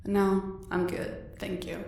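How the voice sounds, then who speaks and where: A woman's voice: medium pitch, little pitch movement, takes it slowly, vocal fry, a little mellow, distant mic. A woman playing a loyal, understated henchwoman torturer in an audio roleplay, terse and deferential to her boss. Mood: deferential, unhurried. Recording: very echoey room, away from the mic.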